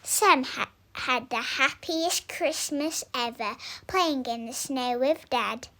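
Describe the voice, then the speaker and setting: Slow, high-pitched, lively female storybook reader. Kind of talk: expressive scripted children's story narration.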